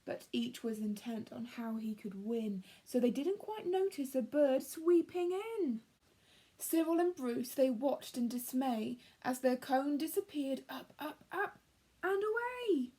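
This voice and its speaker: A woman narrates a rhyming children's story in a notably high voice. Her pace is slow and measured, her tone breathy. She reads dramatically, letting some phrases drop into a hush. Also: lively intonation. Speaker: storyteller reading a picture book to young children.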